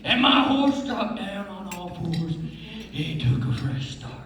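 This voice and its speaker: A man playing a boastful, excitable Old West teller of tall tales, emphatic and folksy, on stage. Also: sits high, slow pace, full character voice. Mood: excited boasting. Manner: emphatic, folksy, dramatic storytelling. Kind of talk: stage readers theatre performance. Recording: very echoey hall, far mic, noisy.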